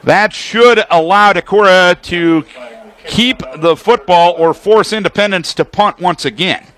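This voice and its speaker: A man with a high, bright voice works as a radio football announcer. He delivers live play-by-play in short, clipped phrases that lay out formations and action. His tone is forceful and projected, built for sports broadcasting. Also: a little slow. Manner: clipped descriptive phrases, excited calls on big plays.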